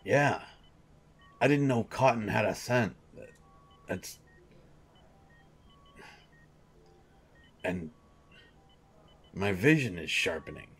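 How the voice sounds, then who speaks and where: A man voicing a gruff male sports coach who is slowly turning into a hungry monster, speaking haltingly and repeating himself. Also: on the low side, expressive, a bit bright, exaggerated voice. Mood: uneasy. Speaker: audio drama voice actor. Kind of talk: audio roleplay monologue.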